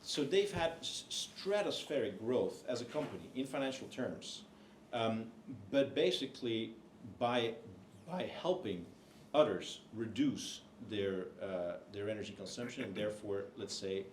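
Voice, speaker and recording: A man's voice: on the low side, animated, unhurried. A man speaking as a co-author on a panel, hesitant, with many fillers and restarts. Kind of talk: panel discussion. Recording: echoey hall, faint noise.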